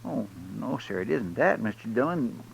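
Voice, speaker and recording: A humble frontier sidekick with a creaky, gravelly voice. He is loyal and simple-hearted, folksy and hesitant in his speech, in vintage western radio drama. The old recording is clearly noisy. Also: mid-range voice, lively intonation, normal speed. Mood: anxious.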